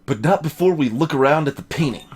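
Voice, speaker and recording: A man's voice: on the high side, animated, fast, darkish tone, slightly rough. A man reading as a wry young lawyer. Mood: wry musing. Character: young male defense lawyer, wry inner narration. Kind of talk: voiced visual novel game reading.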